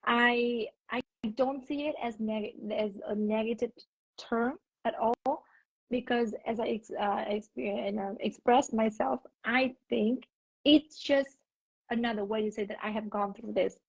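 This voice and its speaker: Husky Vietnamese-accented woman teacher. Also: a little high, fairly even intonation, unhurried, no room sound. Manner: thoughtful, restarts words, explains with examples. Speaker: English teacher, guest. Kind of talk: casual conversation. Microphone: video call. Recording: dull lo-fi video call audio.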